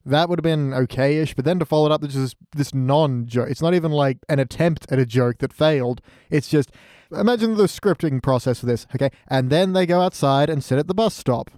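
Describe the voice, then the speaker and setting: A man's voice: on the high side, a little fast, darkish tone, no room sound, studio quality. A man chatting as a guest on a casual review podcast, blunt, incredulous and exasperated.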